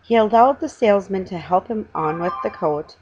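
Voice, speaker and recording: A middle-aged woman reading a children's story aloud at home, in a somewhat halting way. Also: medium pitch, darkish tone, dull audio, slight background noise. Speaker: amateur storybook reader. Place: room at home, indoors.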